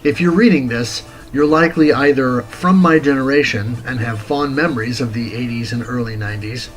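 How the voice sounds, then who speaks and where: A man of forty reading a scripted retro game review as host, in a deliberate, articulate, wordy way. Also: medium pitch, poor audio. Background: music.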